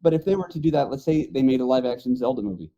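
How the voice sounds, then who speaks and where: A man's voice: medium pitch, animated, racing, mellow. A man chatting casually and informally on a podcast panel. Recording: dull, muffled audio.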